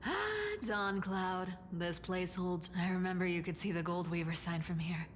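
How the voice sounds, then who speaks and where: A woman's voice: fairly low pitch, lively intonation, normal speed, a little hiss or hum, natural voice. A woman playing a wry, perceptive, no-nonsense female ally in a video game, blunt and knowing. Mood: nostalgic. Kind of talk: game voice acting. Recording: faint music behind.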